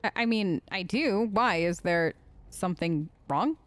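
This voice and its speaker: A woman's voice: fairly low pitch, expressive, measured pace, no room sound. A woman doing role-play let's play commentary, chatty and curious, often tentative as she reacts in character. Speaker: gaming streamer.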